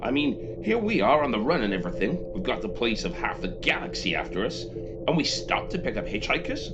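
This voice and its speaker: An actor playing a flippant, irritable space fugitive in a science fiction radio comedy, with sarcastic exasperation. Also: male voice, mid-range voice, sing-song, brisk, vocal fry, over loud music. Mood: sarcastic, annoyed. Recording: muffled amateur recording, very heavy background noise.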